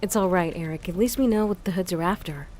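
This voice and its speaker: A woman's voice: on the low side, quick pace, a little mellow, slight background noise, lightly acted. A woman playing a composed, inquisitive police inspector in an audio crime drama. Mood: calm.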